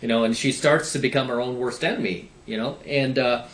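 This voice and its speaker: A man's voice: mid-range voice, a little fast, fairly bright tone, reverberant, a little hiss or hum. A man lecturing on dating in an opinionated advice monologue, sarcastic and swearing now and then. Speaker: dating commentator.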